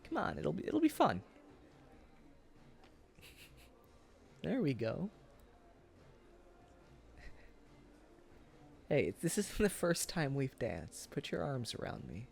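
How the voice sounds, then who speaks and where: A man playing a tipsy, earnest young man wooing his old sweetheart in a boyfriend roleplay, speaking casually and haltingly. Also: on the high side, wildly swooping pitch, creaky, exaggerated voice. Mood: playful coaxing. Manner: halting, tender, casual, often chuckling. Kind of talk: boyfriend ASMR roleplay acting.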